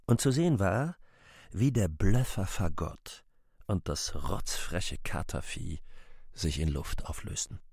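A male narrator with a very low voice reads the story of a radio play. The sound is close and dry. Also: gravelly, a little mellow, slightly rough, no room sound.

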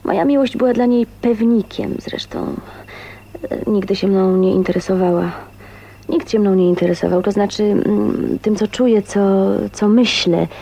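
A woman's voice: mid-range voice, normal speed, mellow, lightly acted. An actress playing a shy, wistful woman of twenty-nine in love, speaking intimately and hesitantly in a radio drama. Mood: bitter, wistful confession. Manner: dreamy, emotional, hesitant phrasing with breathy whispers. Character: shy, dreamy 29-year-old spinster, wistful and newly in love. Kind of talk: radio drama acting, intimate monologue and dialogue. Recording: faint noise, 1980s radio drama.

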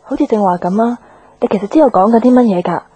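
Radio drama role: forward, probing young Hong Kong Cantonese colleague, brisk delivery, husky tone. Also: female voice, fairly high pitch, mellow, dull audio. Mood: teasing, probing.

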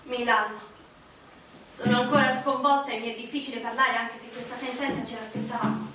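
A woman's voice: a little high, steady tone, a little fast, bright, forceful tone, a little hiss or hum. An actress playing a grave woman reading out a widow's accusations, firm and declamatory in theatrical style. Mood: firm, wounded. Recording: echoey distant stage recording.

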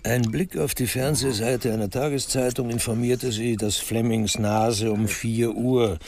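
A man narrating a crime radio drama in a measured, literary storytelling manner. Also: medium pitch, steady tone, a little mellow, slight background noise.

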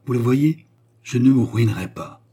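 A secretive former courtesan, acted out by a man speaks in formal, emphatic storybook phrases. The voice is husky and mellow, solemn and commanding, with the weight of repentance. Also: mid-range voice, lively intonation, moderate pace, pitched above the performer's own voice. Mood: repentant, earnest. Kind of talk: dramatic storybook dialogue.

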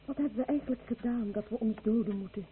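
An anxious, questioning villager in an old radio play. She speaks fast in a rather high voice. The sound is thin and narrow with faint noise. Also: breathy edge. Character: anxious village woman questioning a death pact. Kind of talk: radio drama acting.

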